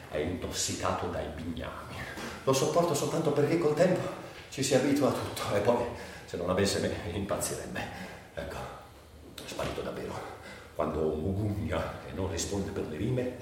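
A man's voice: low voice, a little mellow. A volatile, crude ex-junkie in a stage monologue. His voice swoops wildly between mutters and shrieks, ranting and wheedling. He sounds set back from the mic in a very reverberant space, with faint noise. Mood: sardonic muttering. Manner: erratic, swinging from muttering to shrieking. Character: volatile, foul-mouthed former addict, ranting and wheedling. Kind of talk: theatrical dramatic monologue. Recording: echoey, distant, faint background noise.

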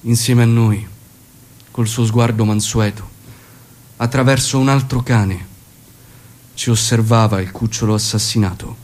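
An actor playing a commanding, shrewd guerrilla leader in a stage drama, speaking in a measured, authoritative way. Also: male voice, on the low side, steady tone, darkish tone, a little hiss or hum, close to an everyday voice. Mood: grave, reflective. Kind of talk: theatrical dramatic acting and monologue.

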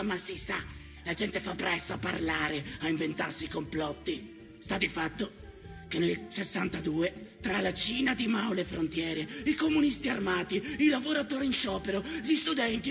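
The ghost of an old dictator general, pompous and self-justifying, in a theatrical monologue. His voice is pitched very high and full of gravelly creak. He is defensive and scornful, declaiming with emphasis over light music. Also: fairly bright tone. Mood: agitated, self-justifying.

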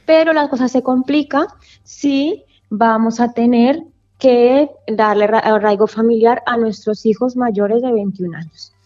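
A woman's voice: a little high, measured pace, darkish tone, lo-fi sound, faint music behind. A woman lawyer explaining immigration paperwork in a radio interview, full of asides and self-corrections. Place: office in Valencia, Spain.

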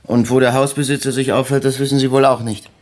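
An actor playing a shrewd, probing police chief inspector who muses aloud in a radio crime drama. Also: male voice, medium pitch, little pitch movement, natural voice. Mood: probing, dry. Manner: probing, musing, giving brisk orders. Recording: loud car and street noise behind.

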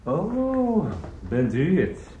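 A man's voice: high voice, wildly swooping pitch, very slow, dark tone, exaggerated voice. An actor playing an awkward, politely intrusive bachelor lodger in a radio drama, drawling his words. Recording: echoey old radio recording with hiss.

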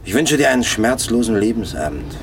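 An actor playing a hard, persuasive underworld go-between in a radio crime drama, cool, dry and pressing. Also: male voice, mid-range voice, big pitch swings, brisk, background noise. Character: hard, persuasive male contract-killing go-between. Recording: loud car and street ambience.

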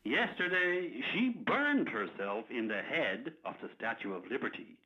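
Brash, bright-voiced. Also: male voice, mid-range voice, sing-song, measured pace, dull audio. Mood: blunt, proud. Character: brash American man, proud friend phoning bad news. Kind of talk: radio drama acting.

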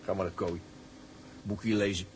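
A man's voice: low voice, flat delivery, moderate pace, slight background noise, lightly acted. An actor playing a grumbling, world-weary seaman on a tug, with a Dutch accent. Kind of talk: film drama acting.

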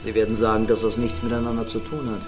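A man's voice: on the low side, completely flat delivery, fast talker, over music, close to an everyday voice. An actor playing a brooding, sceptical male police chief, terse and probing, in a crime radio drama.

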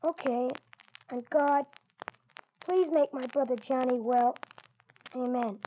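Small boy, eager and devout, high piping voice, old radio play with some hiss. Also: slow pace. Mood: earnest, praying. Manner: childlike, simple pleading speech. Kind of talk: 1940s-50s radio drama acting. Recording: muffled, noisy old radio recording.

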